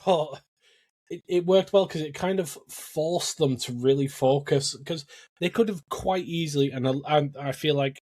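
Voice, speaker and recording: A man's voice: on the high side, normal speed, a little mellow. A man speaking as a podcast panellist in casual chat, reflective and explaining his points.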